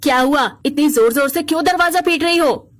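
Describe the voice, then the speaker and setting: A woman's voice: high voice, brisk, slight background noise, natural voice. A woman voicing a haughty, suspicious rich lady of the house in a cartoon story, brusque and dismissive. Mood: annoyed.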